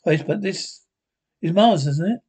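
A man playing a skeptical, commanding spaceship captain who sounds baffled and insistent, in a husky voice. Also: sits high, expressive, unhurried, warm tone, heavily acted. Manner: questioning, insistent.

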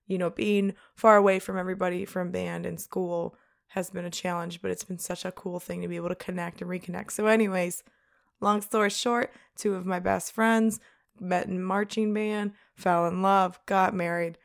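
A woman's voice: steady tone, normal speed. A young woman co-hosting a podcast, chatting casually and animatedly and laughing often. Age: young adult. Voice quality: gravelly low voice. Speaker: podcast co-host. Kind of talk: casual chat.

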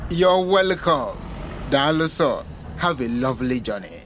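A man's voice: medium pitch, sing-song, unhurried. An actor playing a friendly, helpful man at a railway station in an audio drama. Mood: kindly. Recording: loud train station noise behind.

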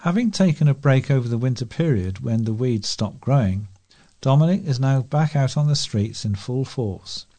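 A man's voice: mid-range voice, expressive, moderate pace, warm tone. A man reading scripted local news as a volunteer newsreader.

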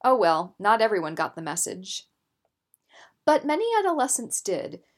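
A woman narrating scripted nonfiction as an audiobook reader, in a measured, articulate way. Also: mid-range voice, big pitch swings.

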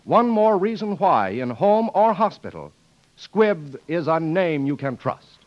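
A man's voice: fairly high pitch, sing-song, a little slow, heavy background noise. A man announcing from a script in a polished, formal 1940s radio style. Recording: muffled 1940s radio broadcast, faint music.

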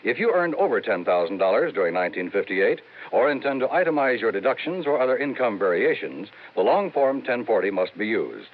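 Animated male radio announcer, tinny sound. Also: mid-range voice, brisk. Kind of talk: 1950s network radio announcing, scripted. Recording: tinny 1950s radio recording.

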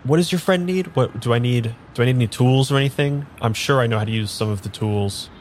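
A man playing an awkward, unsure amnesiac in an improvised audio drama, halting in dialogue and reflective as he narrates. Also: mid-range voice, slight background noise, lightly acted. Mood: awkward, eager. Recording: music behind the voice.

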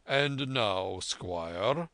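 A man voicing a calm, measured, dryly authoritative gentleman doctor in a storybook reading, composed and deliberate. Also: on the low side, dry recording, exaggerated voice. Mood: amused, calm. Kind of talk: audiobook character voice.

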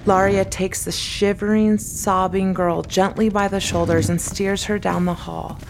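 A woman's voice: mid-range voice, fairly even intonation, unhurried, vocal fry, noisy background. A woman narrating a scripted dramatic story as the narrator of an audio drama.

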